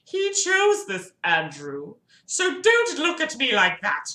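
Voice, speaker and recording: A woman voicing a smug young man who talks in a high valley girl cadence. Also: wildly swooping pitch, takes it slowly, bright, forceful tone, heavily acted. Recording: roomy echo.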